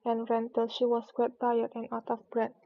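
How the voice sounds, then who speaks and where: Creaky, flat-toned woman reading a storybook. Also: a little high, moderate pace, phone-quality sound. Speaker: streamer reading books aloud. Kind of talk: read-aloud storybook narration.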